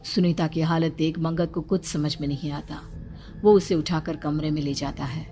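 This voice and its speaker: A woman's voice: low voice, steady tone, normal speed, dark tone. A woman narrator reading a scripted horror story dramatically, with background music under her voice.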